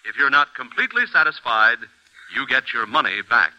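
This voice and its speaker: A man's voice: medium pitch, big pitch swings, a little fast, bright, forceful tone, dull audio. A man announcing a scripted classic radio commercial, persuasive and polished, addressing listeners directly on a vintage recording.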